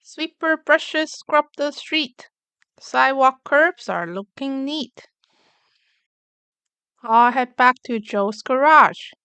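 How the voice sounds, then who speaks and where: A woman reading a rhyming picture book aloud for young children, in an expressive, clearly enunciated storytelling manner. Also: high voice, takes it slowly, long pauses, no room sound. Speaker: children's story reader, channel host.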